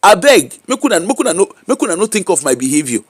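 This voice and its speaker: Nigerian male commentator, high bouncy voice, teasing and rhetorical. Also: fast talker, husky, no echo, clean studio sound. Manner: animated, mocking, rhetorical questions. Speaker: social media commentator. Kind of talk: casual commentary in pidgin. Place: UK-based.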